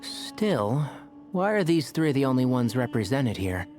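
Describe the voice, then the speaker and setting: A man's voice: mid-range voice, very animated, a little slow. A man voicing a sensible young botanist in a video game, dry and matter-of-fact, with an inquisitive streak. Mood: curious, matter-of-fact. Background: soft game music.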